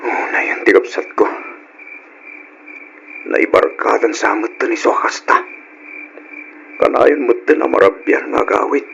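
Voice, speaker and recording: An actor voices a worried older brother in an Ilocano radio drama. His mid-pitched voice is earnest and admonishing, with faint noise on the recording. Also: measured pace, gravelly, long pauses, fairly bright tone, lo-fi sound. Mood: worried, scolding. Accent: Filipino, Ilocano.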